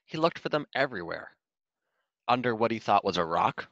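A man's voice: on the low side, sing-song, brisk, vocal fry, a bit bright, dry recording, muffled recording. A man reading a children's storybook aloud in scripted narration. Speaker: storybook reader.

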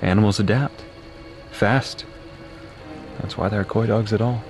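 Low-voiced, terse woodsman, played by an actor. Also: fairly even intonation, a little slow, music in the background, natural voice. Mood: knowing, terse. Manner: terse, matter-of-fact. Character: seasoned woodsman hunter, terse, knowing, dryly wry. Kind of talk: audio drama acting.

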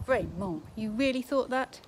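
A woman's voice: a little high, animated, normal speed, pitched above the performer's own voice, natural voice. Woman reading as wary, cocky boy, blunt and dismissive, outdoor recording with background noise. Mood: offhand. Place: garden outdoors.

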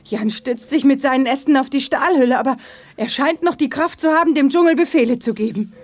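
An actress playing an earnest, brave but anxious young heroine in a science-fiction radio play. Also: female voice, high voice, brisk, natural voice. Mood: urgent, determined.

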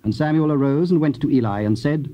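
A man's voice: on the high side, fast talker, warm tone. A man narrating scripture in a solemn, scripted style. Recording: dull, faintly noisy old cassette.

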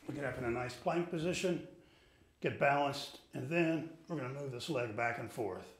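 A middle-aged man coaching ski fitness, explaining each exercise calmly while he demonstrates it in a studio. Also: mid-range voice, moderate pace. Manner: patient, explanatory, talks while moving.

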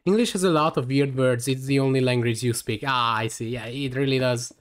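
A man's voice: mid-range voice, fairly quick, a little hiss or hum. A young man from Hungary with a Hungarian accent, streaming a game live and chatting in a rambling way with lots of fillers, tired late in the session. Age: young adult.

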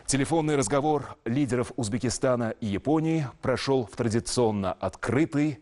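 A man in his thirties reading scripted news as an anchor in a formal, official, measured manner. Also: medium pitch, a little mellow. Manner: measured, official, clearly enunciated delivery. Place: television news studio.

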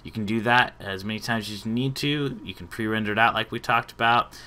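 A man hosts an informal software tutorial, explaining step by step. His voice sits a little low and has a bright, forward edge. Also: fairly even intonation, fairly quick. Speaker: tutorial host.

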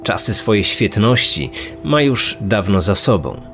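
A man's voice: on the low side, expressive, unhurried, fairly bright tone. Male true-crime podcast host over music. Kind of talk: scripted true-crime narration. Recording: music under the voice. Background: background music.

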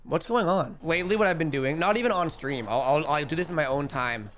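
A young man in his twenties streaming a skateboarding game, chatty and energetic, calling out his tricks, a bit hoarse. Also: a little high, fairly quick. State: losing his voice. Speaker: gaming streamer. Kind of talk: live gameplay commentary. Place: home streaming room. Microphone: headset.

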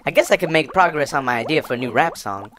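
A man acts as a dejected boy inventor in a comic audio drama. He is glum and slangy, his pitch lively, over faint noise and dripping ambience. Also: fast. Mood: sheepish. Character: dejected boy amateur scientist and would-be rapper. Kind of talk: comedic audio drama acting.

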